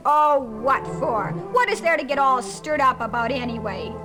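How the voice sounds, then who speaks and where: A woman voicing an excitable, clumsy young male rabbit who stammers when flustered. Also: high-pitched, normal speed. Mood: grumpy. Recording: loud music underneath.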